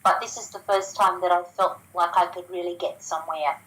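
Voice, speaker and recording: Australian woman guest, low-pitched and chatty, restarts her sentences often. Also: steady tone, fairly quick, fairly bright tone, rough recording. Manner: chatty, with frequent ums and restarts. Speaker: guest sharing business results. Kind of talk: casual phone interview.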